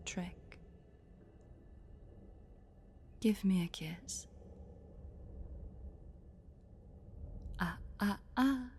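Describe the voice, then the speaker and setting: A woman voicing a possessive, sadistic spider-woman in a roleplay audio drama, smugly playful, with breathy, teasing, condescending coos over music. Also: lowish voice, mellow. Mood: cooing, commanding.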